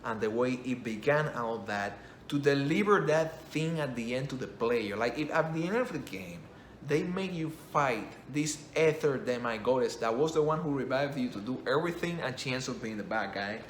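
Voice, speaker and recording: A man, not a native English speaker, rambles through a casual game review, restarting and correcting himself as he goes. His voice sits at a middle pitch and carries an echo from the room. A faint hum of ambience runs behind him. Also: animated, normal speed. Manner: rambling, self-correcting, enthusiastic. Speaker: game commentator.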